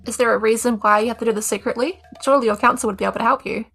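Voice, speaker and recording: Bright, higher-pitched New Zealand woman. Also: steady tone, rapid-fire, gravelly, breathy edge, faint music behind. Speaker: tabletop game player. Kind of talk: in-character game dialogue.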